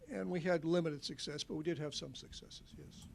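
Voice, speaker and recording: A man who once sat on a town committee, answering questions at a public meeting in a halting, wry way. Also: fairly high pitch, steady tone, gravelly, a little hiss or hum. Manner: halting, repeats words, wry. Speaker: former committee member.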